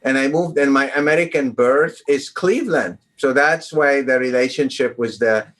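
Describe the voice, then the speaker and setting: A man's voice: mid-range voice, normal speed, fairly bright tone. A middle-aged man from Lebanon, a guest oncologist talking casually in an interview over a video call, rambling with many fillers and restarts.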